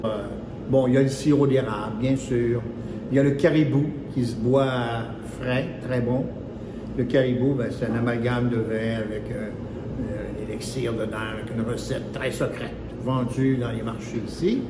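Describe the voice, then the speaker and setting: A man's voice: mid-range voice, steady tone, moderate pace, echoey room, in a large hall, background noise. A man from Quebec answering interview questions in a chatty, loose way, searching for words. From Quebec, Canada.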